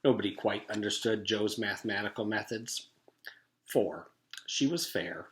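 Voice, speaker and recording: A man's voice: fairly low pitch, a little slow, slight background noise, low-quality recording. A man narrating a children's book aloud as a teacher reading to his class. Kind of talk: storybook read-aloud narration.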